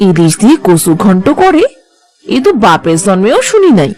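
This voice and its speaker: A woman's voice: mid-range voice, very animated, normal speed, darkish tone, natural voice. A woman playing a nosy, wheedling village neighbour in a cartoon, with music behind the voice. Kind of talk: Bengali cartoon dialogue.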